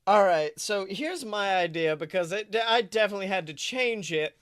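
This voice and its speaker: A man's voice: high-pitched, lively intonation, normal speed, fairly bright tone, clear, resonant tone, no room sound, studio quality. A man co-hosting a podcast in casual comedic chat, with jokey, crude humor. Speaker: podcast co-host.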